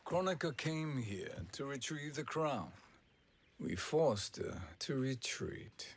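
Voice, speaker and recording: A man's voice: a little high, animated, slow, a little hiss or hum. An actor playing a smug, calculating sorcerer villain in a video game, formal and menacing in his delivery. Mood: smug, commanding. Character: scheming male sorcerer villain, smug, calculating, coldly ambitious. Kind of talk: video game cinematic acting.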